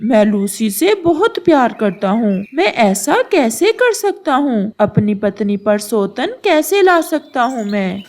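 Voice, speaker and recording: High-voiced, gentle pigeon husband, acted by a woman. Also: sing-song, slow, few pauses, heavily acted. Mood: earnest, protesting. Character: gentle, devoted married male pigeon husband. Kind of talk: Hindi moral-story cartoon dialogue.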